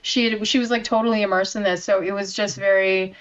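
A woman guest chef talking in a loose, chatty way, piling on filler words as she tells her stories. Her pitch sits at an ordinary middle level. The recording sounds a little dull and lo-fi. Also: steady tone, a little fast. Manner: chatty and enthusiastic, many "like" fillers. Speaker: chef and baking instructor, interview guest. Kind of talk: casual conversational storytelling.

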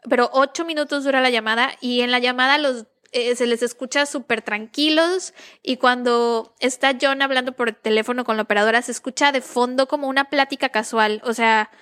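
A young Mexican woman recounting a true-crime case in a relaxed, slangy podcast chat. Her voice sits a little high and sounds crisp and bright. She laughs easily between sentences. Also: a little fast. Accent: Mexican Spanish. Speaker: podcast co-host. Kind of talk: casual true-crime storytelling.

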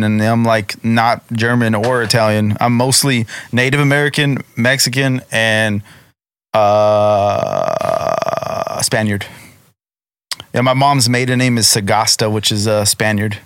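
A man's voice: medium pitch, animated, unhurried, a bit bright, no echo. A man co-hosting a podcast in casual chat, joking, swearing and laughing often. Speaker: podcast co-host.

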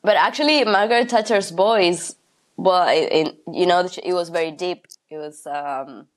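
Halting, laughing woman comedian. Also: on the low side. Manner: halting, laughs often between phrases. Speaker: guest comedian. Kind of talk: casual chat.

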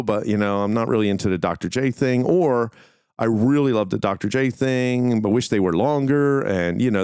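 A man's voice: lowish voice, a little mellow, clear, resonant tone, no room sound, studio quality. A man hosting a podcast, talking casually and informally to his listeners in a rambling, conversational way. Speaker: podcast host. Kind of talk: casual solo talk to listeners.

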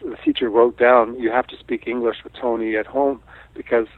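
A middle-aged man from Canada, Italian as his first language, talking in a thoughtful, unhurried way with frequent restarts in an interview. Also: mid-range voice, steady tone, dry recording. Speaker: guest artist. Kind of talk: casual interview talk. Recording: tinny phone line.

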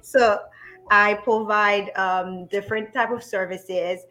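A middle-aged woman from Haiti speaks as a podcast guest. She starts and restarts her sentences, fills the gaps with ums and laughs easily. She keeps the relaxed tone of a casual interview. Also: medium pitch, low-quality recording. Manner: hesitant, many ums, quick to laugh. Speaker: podcast guest, business coach.

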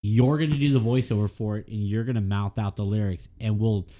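A man's voice: fairly low pitch, steady tone, brisk, dark tone. Tired rambling podcast man. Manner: rambling, searches for words. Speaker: podcast co-host. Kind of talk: casual chat.

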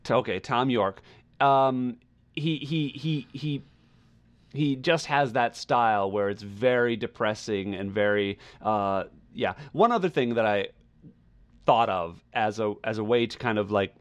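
A man with a middling pitch talks casually as a podcast reviewer. He gropes for the right words, repeating himself and circling back as he shapes his opinion. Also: unhurried. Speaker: podcast co-host reviewing music. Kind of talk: casual conversational album review.

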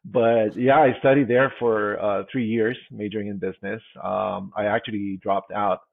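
Deep gravelly man on a tinny line. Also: level tone, no echo. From Philippines. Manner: halting, many fillers and restarts. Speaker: guest, security executive. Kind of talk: casual interview answers. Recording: tinny phone-like remote line.

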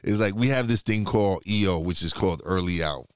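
A man's voice: deep voice, a little fast, clear, resonant tone, no echo, studio quality. A man from New York chatting casually over a remote call, rambling and restarting his sentences. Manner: rambling, many restarts, laid-back. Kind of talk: casual podcast chat.

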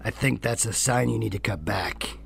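Man acting a sly, gravelly, needling cop. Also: on the low side, normal speed, faint music behind. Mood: wry, warning. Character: cool, sly, insinuating male police detective. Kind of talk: audio drama acting.

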